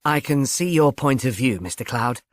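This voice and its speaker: A man's voice: mid-range voice, expressive, normal speed, dry recording, clean studio sound, lightly acted. A man voicing a society mother in a storybook, airy and gracious with an affected, genteel manner. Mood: grave.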